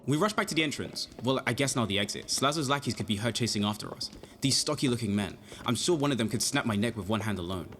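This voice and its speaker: A man's voice: mid-range voice, lively intonation, fast, fairly bright tone, a little hiss or hum, lightly acted. An actor playing an anxious, earnest young amateur detective in an audio drama, flustered and precisely worded as he acts and narrates his story. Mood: wry narration. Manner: flustered, stammering, protesting, precise wording. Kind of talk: audio drama acting with first-person narration.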